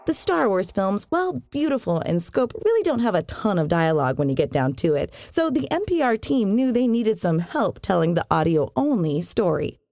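A woman narrates as a podcast host. Her delivery is scripted and very animated in pitch, with wry little asides. The sound is close and dry, with very little room to it. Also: moderate pace, few pauses, dry recording. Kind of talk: scripted narration of science fiction history.

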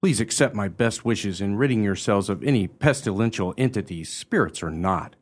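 A man acting a gallant, jesting military officer in a radio play, husky-voiced, courtly and wry. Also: fairly low pitch, animated, moderate pace.

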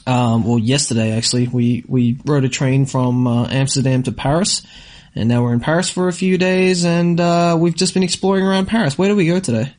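A man with a mid-range voice chats as a podcast co-host. His delivery is informal and rambling, full of slang, like relaxed talk between travel companions. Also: medium pitch, a little fast. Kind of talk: casual chat about travel.

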